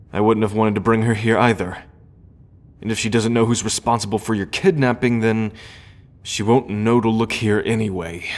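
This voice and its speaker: A man playing an earnest, loyal prince in a fantasy audio roleplay, speaking in a formal, measured and hesitant way. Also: on the low side, lightly acted. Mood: resolute, careful. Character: earnest, grieving prince, loyal sibling of the dragon heir.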